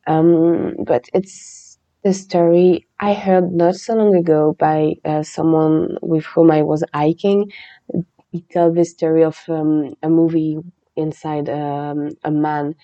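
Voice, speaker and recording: A woman hosting a podcast, chatting in a relaxed, meandering way and reading a legend aloud. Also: low-pitched, slow, muffled recording. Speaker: podcast host.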